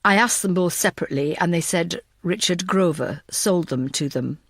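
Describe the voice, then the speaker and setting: A woman's voice: on the low side, moderate pace, no room sound, studio quality, voice pushed down. A woman voicing a reluctant, blunt male investigator uneasily reporting grim news in an audiobook. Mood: grave, uneasy.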